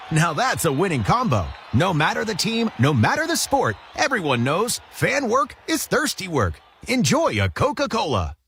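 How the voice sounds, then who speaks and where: A man playing an excitable sports announcer in a radio soda advertisement. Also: high voice, slight background noise, full character voice. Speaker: commercial voice actor.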